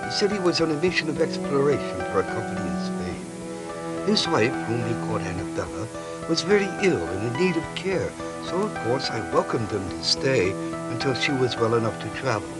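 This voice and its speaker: An actor playing a worried, kindly Spanish mission padre in a video game, speaking in an earnest, solemn, theatrical way. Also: male voice, mid-range voice, sing-song, fairly quick, loud music behind the voice, lightly acted, poor audio. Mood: anxious, pleading. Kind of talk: dramatic cutscene acting and storytelling.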